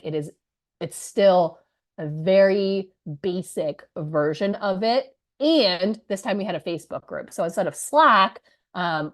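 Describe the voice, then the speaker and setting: A woman in her thirties, hoarse from a cold, telling an audience the story of her launch in a chatty, energetic way with many asides. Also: lowish voice. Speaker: business coach presenting her launch story. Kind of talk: live presentation to an audience.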